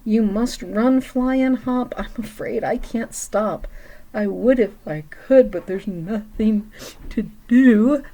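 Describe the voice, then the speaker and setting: A woman's voice: a little high, takes it slowly, mellow, voice pushed up, rough recording. A woman voicing a fretful, sneezy male elephant who speaks in dramatic sing-song rhymes. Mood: apologetic.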